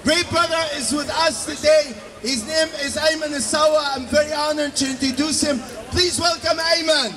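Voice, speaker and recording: A man's voice: very high voice, steady tone, normal speed, few pauses, bright, forceful tone, some chatter behind. A man introducing a speaker at a protest in rhythmic, repeated phrases. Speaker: rally emcee introducing a speaker. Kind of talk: shouted introduction.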